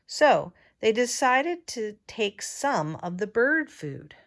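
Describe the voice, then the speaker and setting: A woman reading a children's storybook aloud in a deliberate, expressive teacher's manner. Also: a little high.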